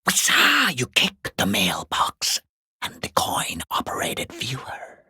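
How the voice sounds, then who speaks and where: Hissing, sinister, mocking watcher. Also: male voice, mid-range voice, wildly swooping pitch, pushed and bright, no echo, studio quality, full character voice. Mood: taunting. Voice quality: hoarse, breathy whispering rasp. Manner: taunting, sarcastic, drawn-out theatrical phrasing. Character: mocking, sinister unseen male watcher sneering from the shadows. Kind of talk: video game character voice lines.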